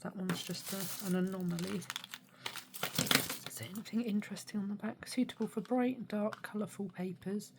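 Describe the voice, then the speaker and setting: Woman with a cold, hoarse n snuffly, half whispering thru an unboxing, noisy background. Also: medium pitch, moderate pace. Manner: soft-spoken, often drops to a near whisper; mildly critical, hesitant with many ums. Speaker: art supply unboxing vlogger. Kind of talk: casual unboxing chat. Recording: steady background noise.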